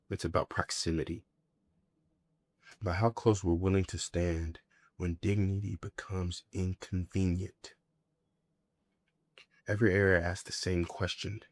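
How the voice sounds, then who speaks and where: A man with a low, deep voice performs a spoken-word monologue. He speaks deliberately and reflectively, setting out short, weighted phrases. The sound is dry, with almost no room around the voice. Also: dry recording.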